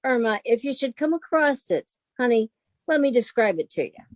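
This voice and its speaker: A woman's voice: fairly high pitch, big pitch swings, moderate pace, dry recording, clean studio sound. A woman playing a sensible, exasperated young roommate in a 1940s-style radio comedy. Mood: exasperated patience.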